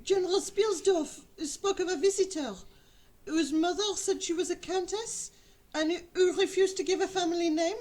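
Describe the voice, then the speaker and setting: A woman's voice: very high-pitched, normal speed, darkish tone. A woman playing a polite, tentative young lady of a castle household in a radio drama.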